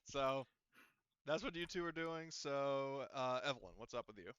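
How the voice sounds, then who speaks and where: A man's voice: mid-range voice, expressive, moderate pace, fairly bright tone, smooth, dry recording, lo-fi sound. A man running a tabletop roleplaying session as game master, talking conversationally and often searching for his words.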